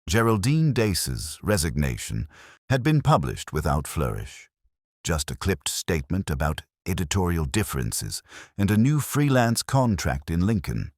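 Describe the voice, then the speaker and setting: Male narrator for detective fiction: extremely deep, measured, literary, expressive pitch movement, dry recording. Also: dry recording. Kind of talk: scripted mystery story narration.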